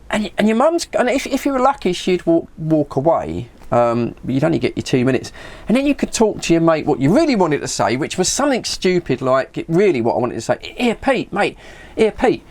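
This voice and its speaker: A middle-aged British man talks in a casual, chatty way, like a hobbyist vlogger. His pitch moves about in a lively, animated fashion. He recounts conversations by acting out each side, often repeating words along the way. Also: moderate pace. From United Kingdom. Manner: acts out remembered conversations, repeating words as he goes. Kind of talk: casual chat with anecdotes.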